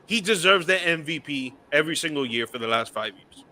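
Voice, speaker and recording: Bright, slangy male sports panelist. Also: on the high side, lively intonation, a little fast. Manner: loose and slangy, joking. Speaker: podcast panelist. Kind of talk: casual sports talk.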